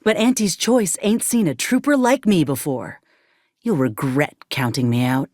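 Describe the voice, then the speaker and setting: A woman's voice: low-pitched, unhurried, darkish tone, no room sound. An actress playing a plainspoken, stubborn woman soldier in a video game, with folksy, dry, blunt phrasing. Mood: indignant, sharp. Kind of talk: video game character dialogue.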